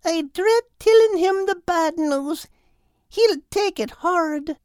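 A man voicing a sweet, excitable female seagull in a storybook, affectionate toward her friends. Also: extremely high pitch, slow, dry recording, studio quality, pitched above the performer's own voice. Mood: worried.

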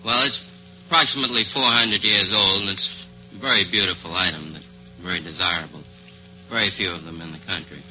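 A man answering in a measured, polite, salesmanlike way as a radio quiz contestant. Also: low voice, steady tone, pushed and bright, faint music behind. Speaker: antique dealer, quiz contestant. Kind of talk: unscripted radio interview answers. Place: live radio show. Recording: dull old 1940s radio recording.

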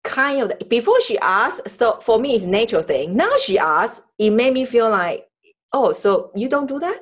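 A woman from Taiwan hosting a podcast in a conversational, personal way, halting as she searches for words in non-native English. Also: fairly high pitch, sing-song. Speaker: podcast host. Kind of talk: conversational interview, personal storytelling.

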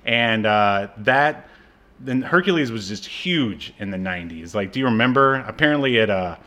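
A man's voice: lowish voice, expressive, moderate pace, pushed and bright. A man co-hosting a podcast, chatting casually and jokingly about movies. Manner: joking, informal. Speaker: podcast co-host.

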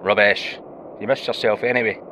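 Man playing a low-voiced, wry Scottish astronaut. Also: fairly even intonation, a little fast, background noise, close to an everyday voice. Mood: playful banter. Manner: wry, colloquial. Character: homesick, joking Scottish astronaut and deep-sea diver, male. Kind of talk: naturalistic audio drama acting.

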